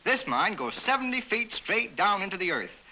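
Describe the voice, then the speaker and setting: High, sharp-voiced 1930s American newsreel narrator, male. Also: sing-song, moderate pace, rough recording. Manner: jaunty, wisecracking. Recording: bright vintage 1930s newsreel soundtrack.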